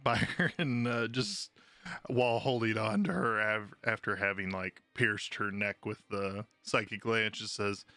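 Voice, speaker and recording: A man's voice: fairly low pitch, a little slow, sharp tone, no echo. A man talking casually as a player in a tabletop game. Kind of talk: casual game play talk.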